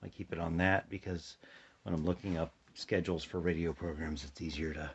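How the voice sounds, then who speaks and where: Dull sounding audio, deep husky american guy kinda sleepy, just casually talking thru what hes doing, low key. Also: flat delivery, a little hiss or hum. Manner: low-key, unhurried, thinking aloud. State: a little sleepy. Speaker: hobbyist demonstrating a shortwave radio. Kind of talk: casual hands-on demonstration chat. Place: indoors at a tabletop, close to the camera. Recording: dull, muffled home recording.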